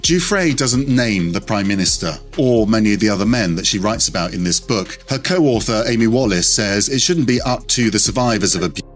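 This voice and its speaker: A man giving a measured, grave news report. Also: fairly low pitch, expressive, faint music behind.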